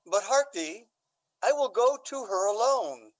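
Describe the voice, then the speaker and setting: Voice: medium pitch, lively intonation, measured pace, lightly acted. An actor playing an aging, scheming Duke in a Shakespearean radio drama, with a theatrical, courtly and emphatic delivery. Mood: sly, eager. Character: aging, scheming Duke, aggrieved father feigning lovesickness.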